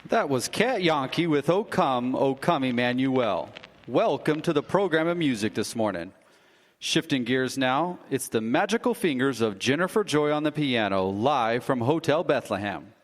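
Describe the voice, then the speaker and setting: Casting: a man as a measured radio announcer with a lively, varied voice, in a hall with long reverb. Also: mid-range voice, natural voice. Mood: announcing. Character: radio station announcer, smooth. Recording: reverberant hall, faint noise.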